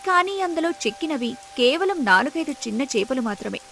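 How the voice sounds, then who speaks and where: A woman's voice: high-pitched, lively intonation, a little fast, fairly bright tone, slight background noise. A woman narrating a scripted moral story as the storyteller.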